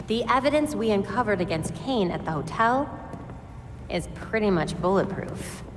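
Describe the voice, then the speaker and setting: An actress playing an analytical, composed vigilante in a video game, speaking matter-of-factly. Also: female voice, medium pitch, lively intonation, moderate pace, natural voice. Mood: serious, composed. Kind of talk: dramatic video game cutscene acting. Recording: echoey reverb, faint background noise.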